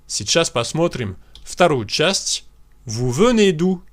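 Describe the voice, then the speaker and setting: Slow, lively French teacher man. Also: on the high side. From France. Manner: slow, deliberate model sentences for learners to repeat. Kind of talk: language lesson for beginners.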